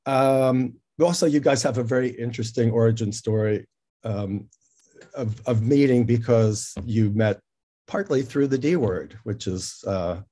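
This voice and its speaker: A man hosting a casual interview, speaking in a halting way as he thinks aloud. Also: mid-range voice, dark tone. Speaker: interview host. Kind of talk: casual hosted conversation.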